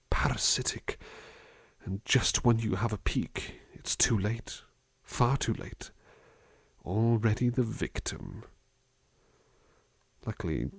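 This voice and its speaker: A man playing a gentle, reflective butler in a soft-spoken ASMR roleplay, musing slowly and thoughtfully, at times dropping into a near-whisper. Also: fairly low pitch, creaky, no room sound, exaggerated voice. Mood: darkly appreciative. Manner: hesitant, thoughtful, musing, unhurried. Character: gentle, reflective male butler reading poetry to his charge.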